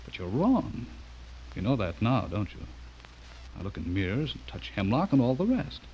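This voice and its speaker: Male actor as secret werewolf gentleman, low voice, smooth menace, falsely polite, radio horror drama, dull recording with faint noise. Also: big pitch swings, moderate pace, natural voice. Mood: smooth, reassuring. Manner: suave, falsely courteous, patronizing. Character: smooth, menacing man secretly a werewolf, courting his victim. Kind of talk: old-time radio horror acting.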